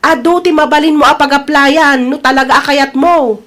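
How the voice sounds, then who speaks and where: An actress with an Ilocano Filipino accent playing a hardworking, exasperated older sister in an audio drama, scolding and complaining. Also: female voice, sits high, steady tone, normal speed, a bit bright. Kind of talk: colloquial audio drama acting.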